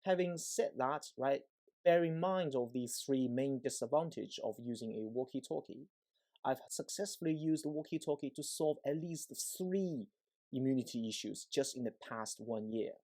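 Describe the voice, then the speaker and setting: Man, soft mellow tone, mid-pitched, informal technical explainer, frequent check-in tags, repeats words for emphasis. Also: normal speed. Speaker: presenter, EMC engineer.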